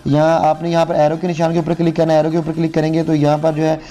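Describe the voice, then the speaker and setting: Male tutorial host, slightly high pitch, soft mellow tone, instructional, step-by-step delivery to viewers. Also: monotone, fast talker. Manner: repetitive, instructional, addresses viewers as friends. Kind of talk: spoken how-to phone app tutorial.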